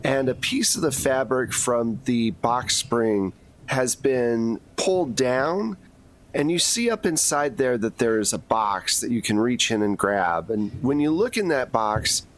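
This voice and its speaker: A man's voice: medium pitch, animated, measured pace. A man narrating a tabletop roleplaying game as game master, setting scenes in a casual, conversational way.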